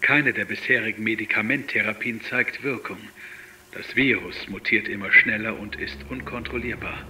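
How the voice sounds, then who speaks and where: A man's voice: fairly low pitch, fairly even intonation, sharp tone, slight background noise. An actor playing a grave male virologist who dictates a formal, clinical audio log in a German audio drama. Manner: formal, clinical, measured delivery.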